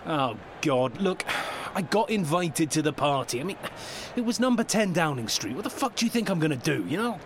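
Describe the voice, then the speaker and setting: An actor playing a harassed, evasive chief executive of a football club, sarcastic and flustered, in a naturalistic comedy drama. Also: male voice, a little high, animated, a little fast, background noise, lightly acted. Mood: panicked.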